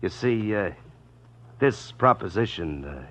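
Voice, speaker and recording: An actor playing a brash small-time hoodlum in an old radio crime drama, wheedling in rough slang and stammering repetitions. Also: male voice, fairly low pitch, animated, unhurried, a bit bright. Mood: cocky, wheedling. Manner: wheedling, stammering repetitions, wise-guy bluster. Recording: dull vintage radio recording.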